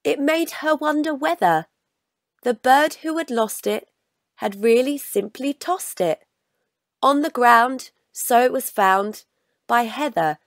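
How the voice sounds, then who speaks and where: Female storybook reader, fairly high voice, unhurried delivery, expressive, very dry close sound. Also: no room sound. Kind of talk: scripted children's story read-aloud.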